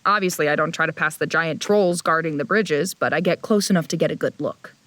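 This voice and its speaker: Low-voiced, blunt young traveler. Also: female voice, a little fast, fairly bright tone. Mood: tense, descriptive. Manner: dry, irritable. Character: blunt, impatient young woman traveler who narrates. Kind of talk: audio fiction narration and acting.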